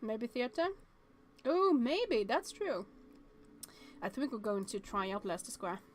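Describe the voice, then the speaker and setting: A woman streaming a game with casual, expressive commentary, thinking aloud and reading on-screen text as she goes. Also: on the high side, measured pace, a little hiss or hum.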